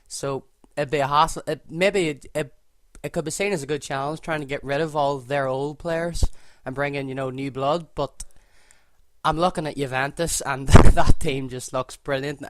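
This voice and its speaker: A man's voice: fairly high pitch, normal speed, no room sound. A man with a Northern Irish accent, talking casually through his choices as he sets up a football game, thinking aloud and often correcting himself mid-sentence. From Northern Ireland. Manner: relaxed, thinks aloud, self-correcting as he goes. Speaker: gaming video creator. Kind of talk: casual gameplay commentary.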